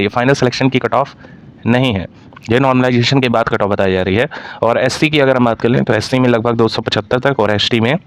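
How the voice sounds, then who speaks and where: A man explains exam analysis to students in an instructive way, mixing in English terms. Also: mid-range voice. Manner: mixes English terms into speech; instructive, addressing students directly.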